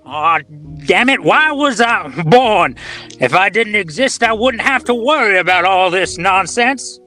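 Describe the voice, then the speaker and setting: Man playing a high-pitched, exasperated everyman husband. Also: lively intonation, measured pace, pushed and bright, faint music behind, exaggerated voice. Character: exasperated, self-pitying everyman husband in trouble. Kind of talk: comic radio play acting.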